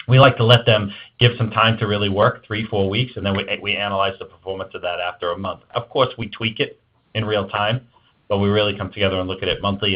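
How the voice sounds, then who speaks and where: A man speaking as an executive guest in an interview, giving emphatic, conversational advice. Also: lowish voice. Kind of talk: interview answers on marketing. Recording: dull lo-fi band-limited audio.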